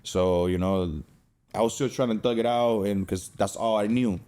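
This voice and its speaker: Young guy from cuba on a podcast, lowish soft dark voice, street slang n cursing, just telling his story. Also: normal speed. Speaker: podcast guest, fighter. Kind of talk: casual personal storytelling.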